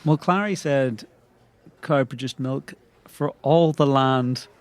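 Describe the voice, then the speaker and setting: A man's voice: mid-range voice, takes it slowly, no echo, lightly acted. A man playing a cheery, slightly formal adventurer in a comedy audio drama, earnest and playful. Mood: cheery, eager.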